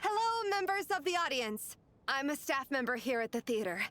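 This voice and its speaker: A woman's voice: sits high, sing-song, moderate pace, a bit bright, a faint crowd, a little hiss or hum. A woman voicing a courteous theater staff member in a video game, making a formal announcement to an audience.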